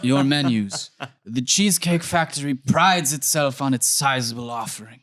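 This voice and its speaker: A man's voice: mid-range voice, normal speed, fairly bright tone, pitched above the performer's own voice, natural voice. A man voicing an ageless skeletal waiter in a comedy table read, speaking in a grand, ominous, archaic formal manner. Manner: portentous, archaic formal speech.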